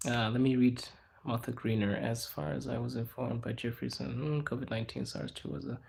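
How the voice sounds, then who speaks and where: A young man hosting a casual livestream, answering chat in a hushed inside voice because people are around him. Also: medium pitch, fairly even intonation, brisk, gravelly, a little hiss or hum. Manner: speaking quietly in an inside voice, laid back. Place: out in public in a city, people around.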